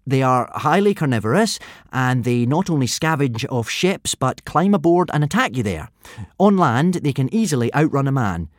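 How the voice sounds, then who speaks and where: A man's voice: mid-range voice, very animated, moderate pace, dry recording, clean studio sound, voice pushed up, close to an everyday voice. A man voicing an eager, clever young Viking boy who explains things earnestly and precisely.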